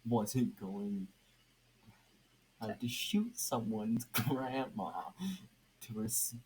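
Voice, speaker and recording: A man reading scripted fiction as an amateur narrator, halting and repeating words as he goes. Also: fairly low pitch, a little mellow. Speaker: podfic narrator. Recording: noisy amateur home recording.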